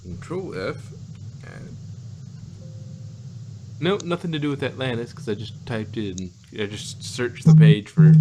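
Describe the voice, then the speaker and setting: A man's voice: mid-range voice, animated, unhurried, long pauses, noisy background. A man co-hosting a casual, rambling review chat with a wry, sarcastic manner. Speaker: podcast co-host reviewing audio dramas.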